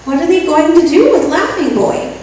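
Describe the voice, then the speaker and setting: A woman's voice: very high-pitched, expressive, moderate pace, darkish tone. A woman playing a naive, sentimental, scatterbrained young secretary with melodramatic earnestness in an old-time radio comedy. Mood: wistful pleading. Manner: melodramatic, earnest, dim-witted. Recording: echoey room, distant mic, faint noise.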